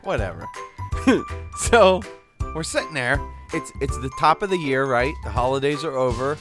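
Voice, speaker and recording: A man's voice: medium pitch, animated, normal speed, over music. A man with a stuffy nose from a cold, co-hosting a podcast and telling a jokey story in casual chat, laughing along as he goes. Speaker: podcast co-host.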